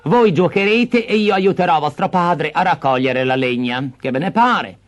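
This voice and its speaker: A man voicing a cruel, bossy stepmother in a storybook reading, with a sharp, scolding, impatient delivery. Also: on the high side, animated, normal speed, faint music behind, pitched above the performer's own voice, close to an everyday voice. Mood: falsely sweet.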